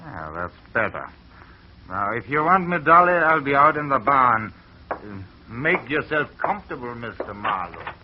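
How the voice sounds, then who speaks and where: A suspicious, domineering older farm owner, played by a man talks with a lively, swinging pitch. It is 1940s radio acting on a muffled broadcast with a faint hiss. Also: moderate pace, close to an everyday voice. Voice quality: commanding, suspicious.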